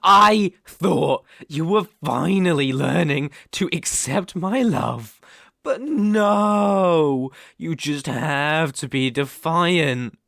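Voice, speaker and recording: A man's voice: fairly high pitch, animated, extremely slow, creaky, fairly bright tone, no room sound, heavily acted. A British man playing an obsessive, possessive young kidnapper in an intimate ASMR roleplay, coaxing and menacing in a condescending way, close on the microphone. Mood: angry, controlled.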